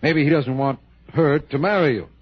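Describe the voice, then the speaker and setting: An actor playing a plain-spoken, apologetic police sergeant in a 1960 radio drama, husky and hesitant, with folksy phrasing. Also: male voice, a little high, moderate pace. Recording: dull vintage radio recording.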